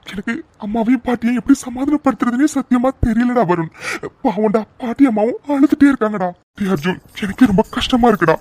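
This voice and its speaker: A woman's voice: fairly high pitch, darkish tone, dry recording, natural voice. A woman voicing a worried, loyal young man, earnest and anxious, in a melodramatic audio serial.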